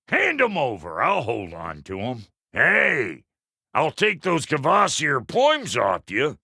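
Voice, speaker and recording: An actor playing a gruff, cocky dwarf blacksmith in a video game, with a rough American accent and a blunt, folksy, cantankerous way of talking. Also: male voice, medium pitch, pushed and bright, no echo, clean studio sound, full character voice. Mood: gruff, businesslike, then gruff, teasing. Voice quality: gravelly, rough. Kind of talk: video game character lines.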